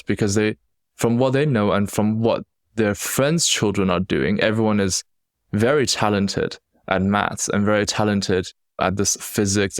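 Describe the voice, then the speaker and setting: Deep-voiced young man with Chinese-British accent. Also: vocal fry, no room sound, clean studio sound. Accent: Chinese-accented English with British influence. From China. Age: young adult. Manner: reflective, earnest, with frequent restarts. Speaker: podcast host. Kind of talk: solo podcast storytelling.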